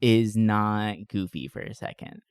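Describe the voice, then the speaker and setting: A man hosting a podcast in casual chat, talking in an informal, joking, animated way. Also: on the low side, a little slow, smooth, dry recording, clean studio sound. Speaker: podcast host. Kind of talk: casual chat about movies.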